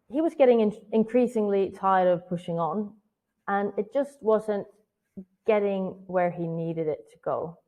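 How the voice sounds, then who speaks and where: A woman's voice: mid-range voice, warm tone. A woman in her thirties from Sweden with a Swedish accent, giving an earnest, scripted webinar talk as a virtual event strategist. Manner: earnest, personal storytelling.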